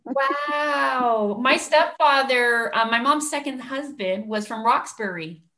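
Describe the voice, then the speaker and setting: A woman's voice: high-pitched, slow, sharp tone. A woman hosting a podcast interview, asking questions with eager enthusiasm. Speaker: podcast host.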